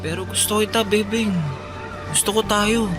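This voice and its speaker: A man's voice: high voice, flat delivery, moderate pace, close to an everyday voice. An actor playing an earnest, lovestruck teenage boy from a traveling fair, eager and pleading in a radio drama. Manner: eager, pleading, plainly sincere. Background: music underneath.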